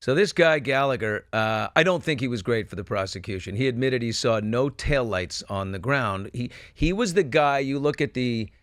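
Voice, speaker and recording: A man's voice: medium pitch, animated, normal speed, few pauses, a bit bright, no echo. Emphatic male talk show host, dry close sound. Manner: emphatic, rhetorical questioning. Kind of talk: opinionated true-crime news discussion.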